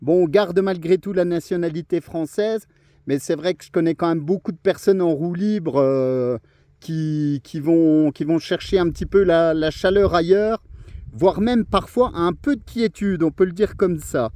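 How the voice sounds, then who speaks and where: A man's voice: a little high, moderate pace, dark tone, no room sound. A man chatting on amateur radio, talkative and hesitant, with many fillers. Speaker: ham radio operator. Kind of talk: casual radio chat.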